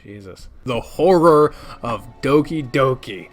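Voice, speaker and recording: A man's voice: medium pitch, lively intonation, takes it slowly, faint music behind. A man giving opinionated, conversational review commentary as a video creator, speaking emphatically with dramatic build-ups and casual swearing. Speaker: video creator ranking favorite games.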